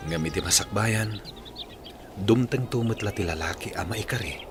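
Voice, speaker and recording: A man acting a gentle, earnest young villager who consoles someone in a radio drama. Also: on the low side, normal speed, music in the background, natural voice. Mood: earnest, consoling.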